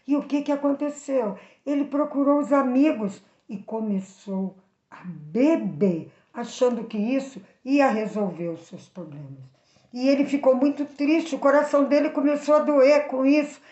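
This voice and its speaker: A woman's voice: high voice, normal speed, mellow. Brazilian woman storyteller in an echoey room. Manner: didactic, earnest, addressing the listener directly. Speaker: children's Bible storyteller. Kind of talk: moral storytelling with preaching for children. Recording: echoey room, slightly dull sound.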